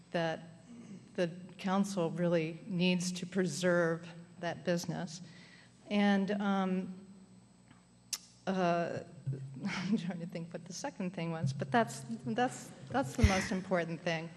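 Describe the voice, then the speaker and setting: A woman speaking during public comment at a town council meeting, hesitant and pausing as she loses her train of thought. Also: lowish voice, fairly even intonation, a little mellow. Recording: echoey hall, faint hum.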